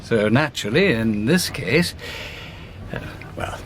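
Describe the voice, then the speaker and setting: Brief: courteous inspector, slow and animated, faint vehicle ambience. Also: male voice, medium pitch, slight background noise. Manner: polite, hesitant. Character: courteous police inspector, middle-aged man.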